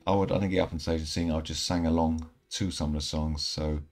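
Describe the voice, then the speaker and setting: A man in his thirties giving casual, rambling commentary over mobile game footage, a little croaky from a night out. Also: rumbling low, a little fast. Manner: relaxed, rambling, self-correcting. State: slightly croaky from singing. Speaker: gaming channel host. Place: indoor room at home.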